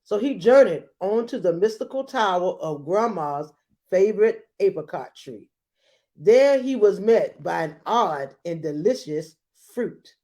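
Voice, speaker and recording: A woman's voice: medium pitch, animated, unhurried, dark tone. A woman speaking as a teacher, explanatory and emphatic, giving a lesson and reading a storybook. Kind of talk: read-aloud lesson and storybook narration.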